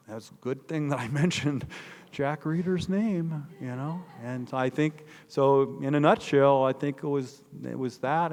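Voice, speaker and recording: An older man with an American Midwestern accent, a biographer telling stories conversationally as he answers an audience question, in an emphatic, digressive way. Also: medium pitch. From northeastern Indiana, United States. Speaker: author and biographer giving a talk. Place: large indoor hall, public talk. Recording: echoey hall with long reverb.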